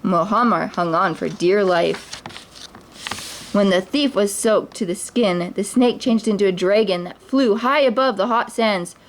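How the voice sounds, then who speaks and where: A woman reading a children's picture book aloud at story time. Her gentle read-aloud has the odd stumble and restart. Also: medium pitch, unhurried, slight background noise. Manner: gentle, with small slips and restarts.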